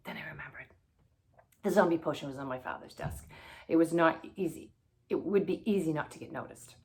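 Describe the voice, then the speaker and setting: A woman with a fairly low voice reads a story aloud. Her delivery is expressive, in the manner of a storybook read-aloud for children. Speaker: guest story reader.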